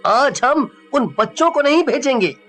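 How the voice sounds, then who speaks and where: A woman's voice: high-pitched, expressive, normal speed, a bit bright, faint music behind. A woman playing a brave, determined schoolboy in a horror story, speaking in an earnest, emphatic way.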